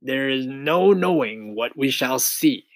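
Boastful storybook centipede, acted by a man: mid-pitched, dry sound, unhurried sing-song rhymes, mischievous. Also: lively intonation, dry recording, poor audio. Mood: mischievous, playful. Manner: declaiming in sing-song verse. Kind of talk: children's storybook reading.

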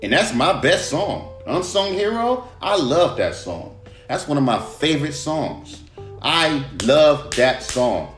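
A man with a smooth baritone voice, hosting a casual solo podcast and ranting about his own music, swearing often. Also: slow pace. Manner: animated, swears often, jumps to a high voice when mimicking fans. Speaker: podcast host and rapper. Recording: roomy room with guitar music behind.

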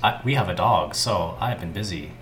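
A man's voice: deep voice, brisk, fairly bright tone. Chatty podcast co-host man in echoey room. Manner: chatty, laughs often, many "like" fillers. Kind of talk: casual chat. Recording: roomy echo, faint noise.

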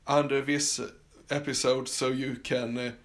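Swedish guy rambling on his podcast, lots of uh's and restarts, mid-pitched voice. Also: flat delivery. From Sweden. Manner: halting, many fillers and restarts. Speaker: podcast host. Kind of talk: casual solo podcast monologue. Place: summer house.

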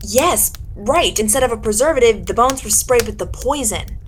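A woman playing a sharp, accusing detective in a 1940s-style radio drama, commanding and sardonic as she interrogates. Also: a little high, lively intonation, moderate pace, background noise, lightly acted. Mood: intrigued. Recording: dramatic music behind the voice.

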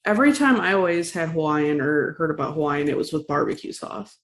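A woman's voice: low-pitched, lively intonation, fairly quick. A woman chatting casually as a podcast co-host. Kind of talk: casual chat.

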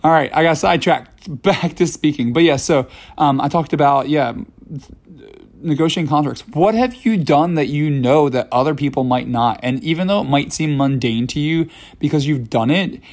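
A man in his thirties, slightly hoarse from a voice that is still recovering, talking casually on his own podcast with plenty of fillers and restarts. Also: fairly high pitch, moderate pace, darkish tone. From New York City. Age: 30s. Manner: rambling, many fillers and restarts. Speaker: podcast host. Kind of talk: casual solo podcast talk.

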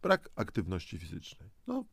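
Man, lowish pitch, crackly gravel, dry close sound, instructive, coaxing, motivational audio host. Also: wildly swooping pitch, normal speed, no echo. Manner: coaxing, instructive, with rhetorical questions. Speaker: host of a health and lifestyle audio programme. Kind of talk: semi-scripted motivational narration addressed to the listener.

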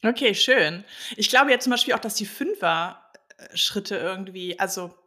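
A woman in her forties co-hosts a podcast in a casual, playful manner. Her voice has a bright, sharp edge at a medium pitch. She laughs easily between questions. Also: animated, unhurried. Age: 40s. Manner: playful, laughs often while asking questions. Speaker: podcast co-host.

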